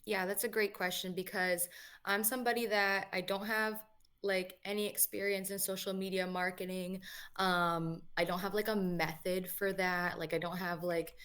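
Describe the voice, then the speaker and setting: A young woman from New England, a guest musician answering interview questions in a relaxed, chatty way with many fillers, over a video call. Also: medium pitch, moderate pace. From New England, USA.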